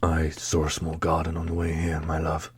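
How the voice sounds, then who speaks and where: A man playing a devoted fantasy prince, gentle, hesitant and thoughtful, in an ASMR roleplay. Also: very deep voice, monotone, normal speed, a little hiss or hum. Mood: tender, pensive. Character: soft-spoken, devoted dark prince, a fantasy lover.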